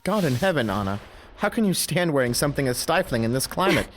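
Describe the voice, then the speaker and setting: A man playing an earnest, expressive young nobleman. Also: medium pitch, fast, slight background noise, natural voice. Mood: teasing, affectionate. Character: blinded young nobleman husband, earnest, awkward, tender. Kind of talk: audio drama acting.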